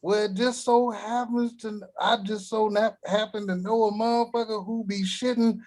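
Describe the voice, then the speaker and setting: A man's voice: sits high, flat delivery, normal speed, darkish tone, lightly acted. A man doing a crude cranky old grandpa. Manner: gruff, vulgar, wisecracking. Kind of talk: table read.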